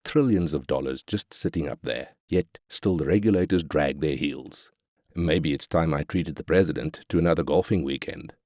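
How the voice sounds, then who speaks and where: An arrogant business magnate with an extremely deep voice, recorded dry and close. He is brash, cynical and commanding, a callous corporate boss from a strategy game. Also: normal speed, a little mellow, dry recording. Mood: aggrieved, scornful. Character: ruthless, arrogant South African corporate tycoon. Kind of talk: scripted game character quotes.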